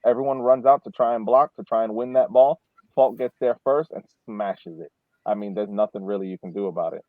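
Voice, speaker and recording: A man's voice: fairly low pitch, fairly quick, dry recording, phone-quality sound. A man co-hosting a sports podcast, chatting casually about soccer and often repeating words as he starts his sentences. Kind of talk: casual soccer analysis chat.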